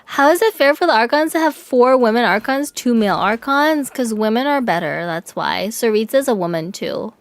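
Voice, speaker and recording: A young woman streaming a game, chatting casually with her chat, swearing and yelling in excitement now and then. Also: on the high side, moderate pace, hardly any pauses. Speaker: VTuber streamer. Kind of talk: casual gaming livestream chat.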